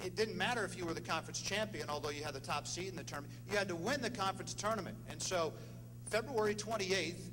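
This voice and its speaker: A man's voice: high-pitched, fast. A man giving an informal speech as presenter to an audience at a ceremony. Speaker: former radio sports announcer. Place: large room at a banquet event. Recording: noisy echoing hall with hum.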